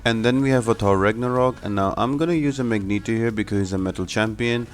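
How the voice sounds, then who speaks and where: Low-pitched man narrating a game guide. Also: fast, soft background music. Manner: explanatory, step-by-step instructing. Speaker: video game guide host. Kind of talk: gameplay tutorial commentary.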